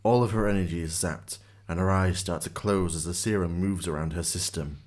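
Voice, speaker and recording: Male narrator, deep low pitch, scripted storytelling, radio drama. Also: steady tone, a little fast, a little mellow.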